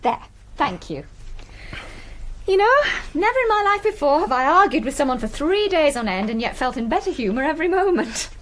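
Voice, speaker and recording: Brisk English farmer's wife, higher-pitched, very animated, articulate and bossy, period radio drama. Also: female voice. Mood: teasing, fond. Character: brisk, warm, strong-willed farmer's wife, socially anxious hostess.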